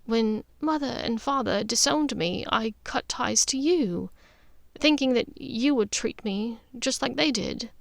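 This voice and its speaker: A woman voicing a warm-hearted, emotional middle-aged witch and sister in an audiobook, speaking gently. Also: fairly high pitch, measured pace, creaky, dry recording. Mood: subdued, sorrowful. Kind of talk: audiobook character dialogue.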